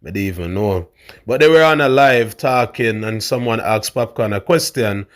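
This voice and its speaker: A man with a big voice, hosting a casual commentary vlog in a loud, hyped way. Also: on the low side, moderate pace. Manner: loud, hype, calls viewers fam. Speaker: host.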